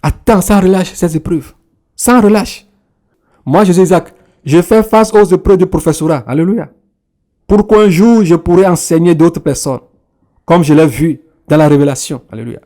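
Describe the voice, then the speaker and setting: A man's voice: a little high, moderate pace, warm tone. A man, repeating phrases and punctuating them with hallelujah. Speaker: preacher. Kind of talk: sermon.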